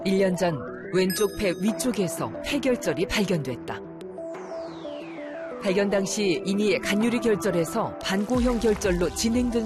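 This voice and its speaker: A narrator reading a scripted medical documentary in a measured, formal way. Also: medium pitch. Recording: music behind the voice. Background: background music.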